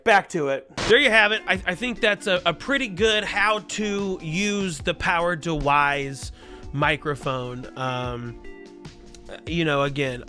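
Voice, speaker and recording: Loud, chatty man reviewing mic gear. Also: on the high side, clear, resonant tone. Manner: loud, chatty, self-deprecating asides. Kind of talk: casual product tutorial. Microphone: lavalier clipped under shirt.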